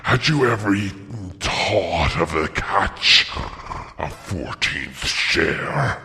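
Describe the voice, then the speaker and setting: A man playing an ancient, huge dragon in a storybook reading, wicked, mocking and boastful, in a drawn-out theatrical delivery with cavernous reverb. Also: low-pitched, lively intonation, gravelly, pushed and bright, heavily acted. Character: ancient, huge male dragon, wicked, wily, gloating and menacing. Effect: added cavernous reverb. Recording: long cavernous reverb effect.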